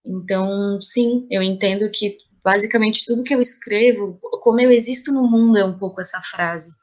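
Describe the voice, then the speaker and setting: A Brazilian woman speaking reflectively as an interview guest, pausing and repeating phrases as she thinks. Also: medium pitch. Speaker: playwright and theatre artist, podcast guest.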